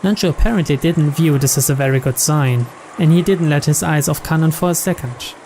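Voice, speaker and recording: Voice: medium pitch, fairly quick, mellow, a little hiss or hum. A narrator reading out the story text of a visual novel as scripted narration.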